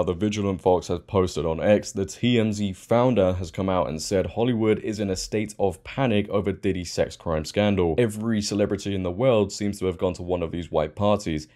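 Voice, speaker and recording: A man's voice: deep voice, mellow. A man hosting online news commentary, speaking in an opinionated, emphatic way and repeating key words.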